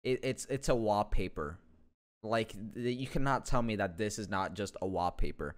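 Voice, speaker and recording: A man's voice: on the low side, normal speed, smooth. A young adult man giving casual, joking reaction commentary as a streamer, slangy, sweary and rambling, close on a microphone in a room at home. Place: small room indoors at home. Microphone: close boom-arm microphone with headset. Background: game trailer music.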